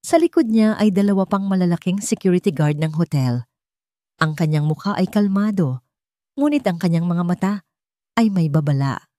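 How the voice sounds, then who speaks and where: A woman narrator reads dramatic fiction in a measured storytelling manner. Her pitch sits a little low, and the recording is dry with hardly any room sound. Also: expressive, mellow, dry recording.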